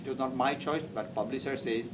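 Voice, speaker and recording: Halting man, distant and noisy. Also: medium pitch, fairly even intonation. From Assam, India. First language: Assamese. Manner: halting, repeats and restarts words. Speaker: interviewee, author. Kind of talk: reminiscing oral history interview. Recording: distant mic, loud background noise.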